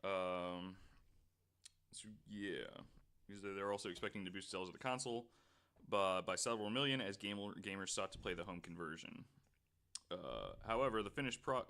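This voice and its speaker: Low-voiced man reading podcast notes. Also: a little fast, fairly bright tone. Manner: hesitant, full of ums and restarts, reads notes at length. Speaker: podcast host. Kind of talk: casual podcast discussion, reading researched notes.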